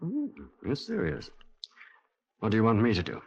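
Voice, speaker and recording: Breezy British army major, lively delivery. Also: male voice, medium pitch, normal speed, vocal fry, breathy edge, heavily acted. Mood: wry, brisk. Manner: breezy, clipped officer's talk with dry humour. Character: genial, wry British Army major in command. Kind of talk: 1950s radio drama acting. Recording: dull, noisy 1950s radio recording.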